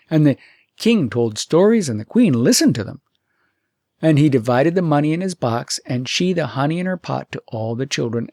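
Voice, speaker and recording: A man narrating a fairytale audiobook in an expressive, deliberate storytelling manner. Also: mid-range voice, creaky, no room sound, studio quality.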